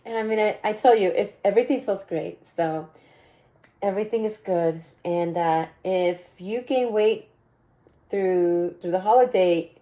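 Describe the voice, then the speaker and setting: A woman with a raspy voice hosting a casual solo podcast, upbeat and chatty, often repeating herself and laughing. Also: medium pitch, measured pace, mellow. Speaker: podcast host.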